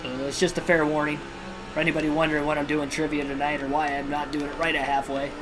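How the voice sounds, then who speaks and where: A man hosting a livestream and giving realistic play-by-play commentary on a racing video game, in a steady broadcaster style with casual personal asides. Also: on the high side, fast talker, low-quality recording. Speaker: livestream host and race commentator. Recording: video game music clearly behind voice.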